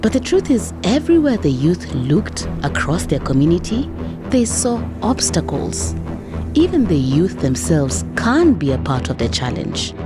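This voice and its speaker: A woman's voice: lowish voice, very animated, unhurried. A woman hosting a narrated documentary podcast with dramatic, rhetorical storytelling delivery. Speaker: podcast host. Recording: music behind the voice.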